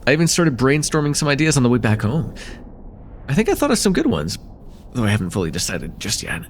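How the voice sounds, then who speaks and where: A man's voice: mid-range voice, very animated, fast, a little hiss or hum, lightly acted. A man playing a friendly, teasing college-age guy in a casual, hesitant slice-of-life ASMR roleplay with a close friend. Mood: thoughtful.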